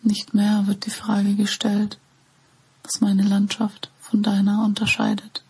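A woman in her twenties whispering a poem slowly and flatly. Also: mid-range voice, breathy edge, low-quality recording. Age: 20s. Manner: whispering, flat and slow. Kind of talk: whispered poetry reading.

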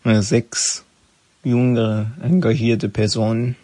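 A young man from Afghanistan, giving a halting personal statement in simple phrasing. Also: mid-range voice, flat delivery, mellow, dry recording. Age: young adult.

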